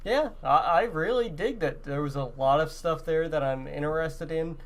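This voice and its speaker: A man's voice: fairly high pitch, moderate pace. A man co-hosting a podcast, chatting casually about gaming news. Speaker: podcast co-host.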